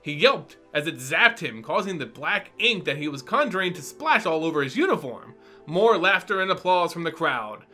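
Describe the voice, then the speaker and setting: A man giving an animated, expressive dramatic reading of a fantasy story as narrator. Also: on the high side, moderate pace, soft background music. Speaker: narrator and reader.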